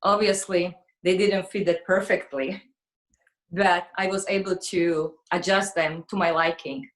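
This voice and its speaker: A woman's voice: lowish voice, fairly even intonation, unhurried, rough recording. A woman in her forties from Slovakia with a Slovak accent, speaking conversationally as an interview guest. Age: 40s. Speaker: interview guest, fashion model.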